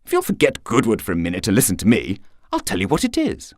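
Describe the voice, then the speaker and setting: A man voicing a casual, slightly sheepish young English gentleman in a story, offhand and lightly bemused. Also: lowish voice, huge pitch swings, fast, no echo, studio quality.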